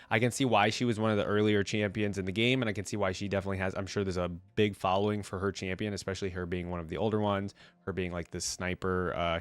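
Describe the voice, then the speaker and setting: A man with a fairly low voice, stuffed up from a cold, rambles informally to his viewers like a reaction host. The sound is dry, with little room in it. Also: no echo. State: congested with a cold. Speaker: video creator, reaction host. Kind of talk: casual rambling chat to viewers about a game.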